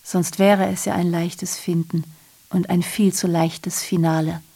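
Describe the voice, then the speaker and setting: An actress playing a sardonic messenger and broadcaster of grim past events in a radio drama, mocking and theatrical, sometimes confiding. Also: female voice, fairly low pitch, little pitch movement, measured pace, creaky, warm tone, husky, full character voice. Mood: sinister, confiding.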